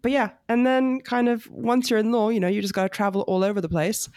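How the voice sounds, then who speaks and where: A woman's voice: fairly high pitch, fairly even intonation, fairly quick, a little mellow, dry recording. Chatty woman with a dry close sound. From France. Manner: chatty, laughs easily, many fillers. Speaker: family lawyer, podcast guest. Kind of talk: casual interview chat.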